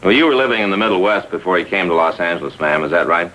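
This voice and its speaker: Concerned American police detective. Forceful low voice, polite questioning, vintage TV crime drama. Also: male voice, lively intonation, racing. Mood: polite, probing. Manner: polite, probing. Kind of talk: 1950s police drama acting. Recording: noisy vintage TV soundtrack.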